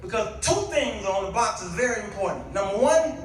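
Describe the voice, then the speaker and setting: High-voiced male preacher in an echoey church. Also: very animated, a little fast. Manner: conversational storytelling rising to emphatic exclamations. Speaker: guest preacher. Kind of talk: animated church sermon with call and response. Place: indoors at a church. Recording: echoey church hall, distant mic, faint noise.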